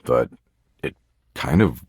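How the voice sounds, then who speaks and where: A man's voice: slow, a little mellow, no echo, studio quality, lightly acted. A man voicing a video game embodiment of existential dread, a jaded, foul-mouthed slacker with a flippant, laid-back, slangy drawl. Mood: sincere, vulnerable. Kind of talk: video game character voice lines.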